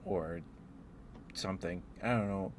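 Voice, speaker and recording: A man hosting a casual ASMR livestream, chatting to viewers in a gentle, apologetic, rambling way. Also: low voice, a little slow. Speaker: livestream host. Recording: clearly noisy background.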